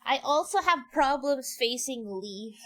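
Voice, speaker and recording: A woman's voice: high-pitched, big pitch swings, slow pace, a bit bright. A woman streamer chatting casually and reacting to a game in a giggly, exclaiming way. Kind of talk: casual livestream chat and game commentary. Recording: music behind. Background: game music.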